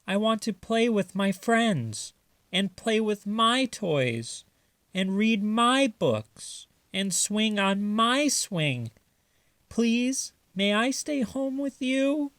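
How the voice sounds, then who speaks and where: Man putting on a high, pleading little raccoon boy. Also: animated, extremely slow, fairly bright tone, no echo, exaggerated voice. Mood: tearful, pleading. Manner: pleading, childlike. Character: little boy raccoon, tearful, clinging, sweetly curious. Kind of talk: children's storybook character voice.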